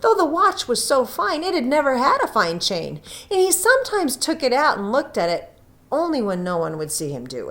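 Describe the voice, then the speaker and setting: A woman reading a story aloud as scripted narration, with dramatic emphasis and drawn-out phrases. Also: medium pitch. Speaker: audiobook reader.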